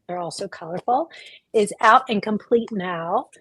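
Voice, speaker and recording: A woman's voice: medium pitch, measured pace, fairly bright tone, husky, dry recording, poor audio. A woman hosting a livestream book chat as an author, talking casually over a video call. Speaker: author and book club host.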